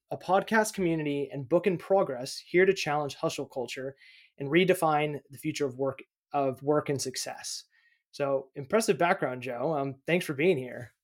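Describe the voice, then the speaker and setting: A man's voice: on the high side, normal speed. A man hosting a podcast, reading a prepared intro and then asking questions in a chatty way with a few restarts. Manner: reads a scripted intro, then chats with restarts and fillers. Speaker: podcast host. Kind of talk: podcast interview.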